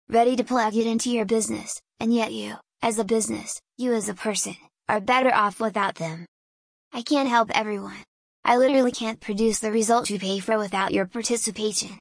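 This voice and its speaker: A woman who grew up speaking talks in English, in a fairly high voice. She muses in a slow, deliberate, philosophical way and drifts from one thought to the next. The recording is dry, with no room echo. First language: Hungarian. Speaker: small business owner. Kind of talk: reflective solo monologue.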